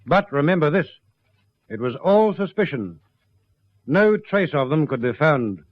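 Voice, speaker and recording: An actor as the narrator of a radio crime drama, speaking in a grave, rhetorical scripted style. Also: male voice, a little high, big pitch swings, unhurried, dry recording. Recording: dry, dull lo-fi radio recording.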